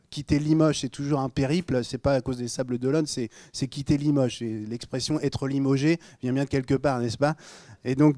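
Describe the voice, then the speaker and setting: A man's voice: mid-range voice, dark tone, dry recording, clean studio sound. A middle-aged man from Normandy with a northern French accent, speaking as a guest pastor in an informal, joking, conversational way to a congregation. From Normandy, France. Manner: jokey, conversational, addressing listeners directly.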